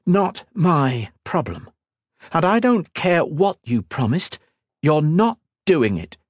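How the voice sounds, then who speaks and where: Man voicing a fiery, high-pitched furious princess. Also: sing-song, slow pace, dry recording, studio quality, full character voice. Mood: furious, defiant. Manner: snapping, indignant, emphatic.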